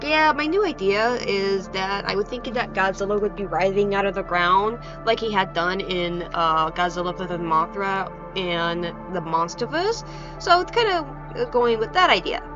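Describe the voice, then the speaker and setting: A woman's voice: medium pitch, normal speed, highs cut off. A woman narrating her craft project in an informal, playful and self-deprecating voice-over, hesitant in places. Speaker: craft video creator. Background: background music.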